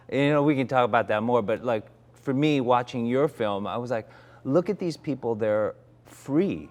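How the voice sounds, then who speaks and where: A man's voice: medium pitch, animated, normal speed. A man in his forties, an actor, reflecting candidly in conversation, often restarting his sentences. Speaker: actor, interview guest.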